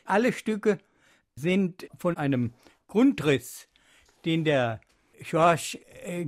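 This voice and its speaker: A man reminiscing spontaneously in an interview, emphatically drawing out key words. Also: a little high. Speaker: interviewee recalling radio productions.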